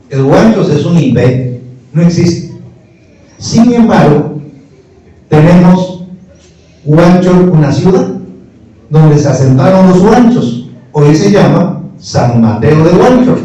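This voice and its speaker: A man's voice: on the high side, warm tone. Man lecturing in echoey room. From Peru. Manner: didactic, argues point by point with rhetorical questions. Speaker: speaker at a history panel. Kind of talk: lecturing panel talk. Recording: distant, echoey, muffled room recording.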